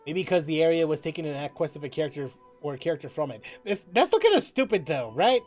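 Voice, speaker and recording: Animated, irreverent game streamer. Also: mid-range voice, quick pace. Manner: excitable, irreverent, joking. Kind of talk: casual gameplay commentary, reacting to dialogue. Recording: game music behind the voice.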